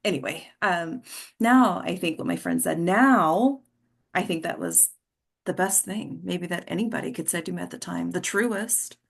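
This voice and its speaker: A woman's voice: fairly low pitch, moderate pace, gravelly, breathy edge. An actress playing a tired, polite, guarded mother in a stage play read, hesitant and understated, trailing off. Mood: reflective.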